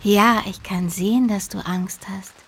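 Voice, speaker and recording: An actress in a radio drama playing an eerie, childlike younger sister, needy and accusing, who slips into whispers. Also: female voice, medium pitch, steady tone, measured pace, noisy background, lightly acted. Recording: music behind the voice. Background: music.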